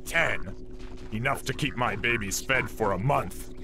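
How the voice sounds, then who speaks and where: A man's voice: mid-range voice, a little slow, noisy background, lightly acted. A man playing a pathetic, spiteful spider keeper in a video game, whimpering and melodramatic. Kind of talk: exaggerated video game character acting.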